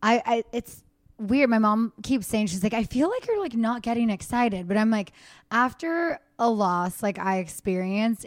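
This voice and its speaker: A woman's voice: mid-range voice, no room sound, studio quality. A pregnant woman hosting a podcast, chatting candidly as she answers fan questions. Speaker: podcast host. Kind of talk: casual chat.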